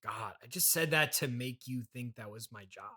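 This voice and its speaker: A man's voice: medium pitch, normal speed, pushed and bright. A man playing the spoiled, petulant young son of a trillionaire, whiny and impatient, in an improvised comedy podcast. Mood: sulky.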